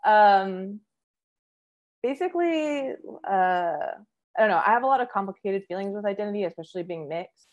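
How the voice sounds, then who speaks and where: A woman's voice: mid-range voice, lively intonation, moderate pace, lo-fi sound. A young woman talking on a video call from home as a comics creator guest, hesitant and full of fillers while she thinks aloud. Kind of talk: casual panel conversation. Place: home room, indoors. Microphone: video call webcam.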